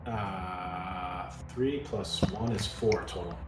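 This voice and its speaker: Echoey, lower-voiced man at a game table. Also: fairly even intonation, slow pace, noisy background. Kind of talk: casual game-table chat. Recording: roomy echo, clear music underneath.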